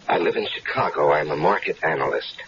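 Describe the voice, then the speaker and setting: A wry, easygoing stranger speaks in a very low voice. He tells his story casually, with dry humor. The audio is muffled, like a 1970s radio broadcast. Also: animated, fairly quick, vocal fry, a bit bright, slight background noise, full character voice. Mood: storytelling. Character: easygoing stranger caught up in a plot. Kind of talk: 1970s radio drama acting. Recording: dull lo-fi 1970s radio recording.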